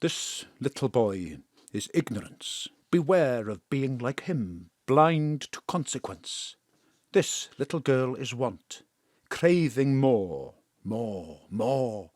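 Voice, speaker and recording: A man's voice: mid-range voice, lively intonation, takes it slowly, darkish tone, no echo, clean studio sound. An actor playing a grave, portentous male First Minister making pompous pronouncements in an audio drama.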